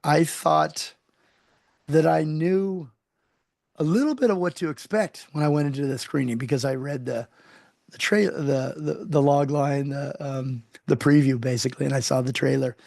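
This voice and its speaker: Man, show host, gravelly voice, effusive and gushing, dry close sound. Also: fairly high pitch, fairly even intonation, moderate pace, darkish tone, dry recording. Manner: effusive, gushing praise with restarts. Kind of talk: interview.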